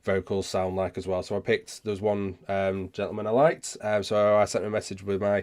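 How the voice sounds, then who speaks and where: Low-voiced, halting man hosting a livestream. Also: level tone, a little mellow, smooth. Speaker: host of a live DJ Q&A session. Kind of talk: casual livestream chat, answering viewer questions.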